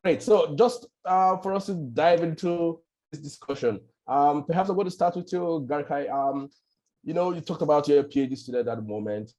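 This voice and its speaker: A man moderating an online panel over a video call, relaxed and leaning on "you know" as he talks. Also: a little high, normal speed. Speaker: webinar moderator.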